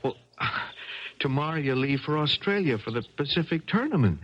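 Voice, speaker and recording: An actor playing an earnest, hesitant young college athlete in love, in a 1970s radio drama. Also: male voice, a little high, lively intonation, normal speed. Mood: pleading, frustrated. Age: young adult. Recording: dull archival 1970s radio broadcast.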